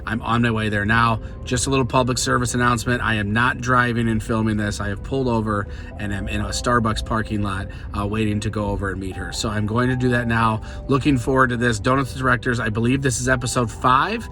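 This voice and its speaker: A man's voice: mid-range voice, fairly even intonation, fast, bright, forceful tone, faint music behind. A man hosting a vlog, upbeat and enthusiastic in casual chat. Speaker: host. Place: parked car, then outdoor garden.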